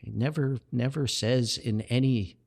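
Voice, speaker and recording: A man's voice: mid-range voice, a little slow, vocal fry, darkish tone. An older man hosting a podcast, reflecting in a rambling, earnest monologue, often repeating words and backtracking as he goes. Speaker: podcast host and author.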